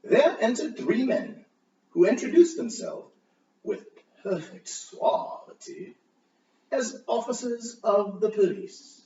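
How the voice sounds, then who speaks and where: A man playing a gleeful, cunning, frantic mad murderer in a theatrical gothic horror reading, with halting phrasing that swings from hushed to loud. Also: high-pitched, animated, slight background noise, full character voice. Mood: sly, smug. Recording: distant mic, roomy echo, muffled.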